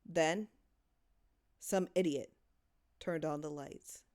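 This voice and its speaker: A woman's voice: low voice, takes it slowly, a little mellow, smooth. A woman narrating a horror short story in a dramatic reading style.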